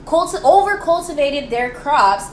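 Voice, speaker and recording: Young American woman, student history host. High voice, informal and playfully theatrical, echoey room with faint noise. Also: expressive, unhurried. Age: young adult. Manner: playful, theatrical, slangy, with rhetorical questions. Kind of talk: chatty, informal history presentation for a school project.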